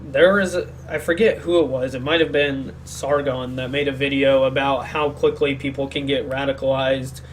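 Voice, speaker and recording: A man's voice: mid-range voice, fairly even intonation, normal speed, few pauses, a little hiss or hum, rough recording. A sick man co-hosting a podcast, rambling through a casual opinionated discussion and often searching for words. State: sick, speaking more quietly than usual. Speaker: podcast co-host.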